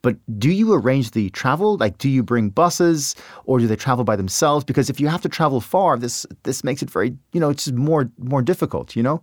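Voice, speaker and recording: A woman with a very deep voice hosts a relaxed conversational interview. Her intonation is lively, she often chimes in with affirmations, and the sound is dry. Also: fairly quick, few pauses, no room sound. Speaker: interviewer, podcast host.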